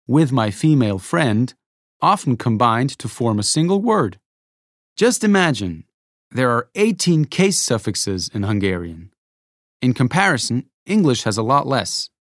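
A man reading a scripted educational narration, explaining clearly and adding rhetorical asides. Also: medium pitch, no echo, studio quality.

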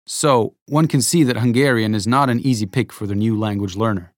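A man reading a scripted educational narration, explaining clearly and adding rhetorical asides. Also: on the low side, fairly quick, no echo, clean studio sound.

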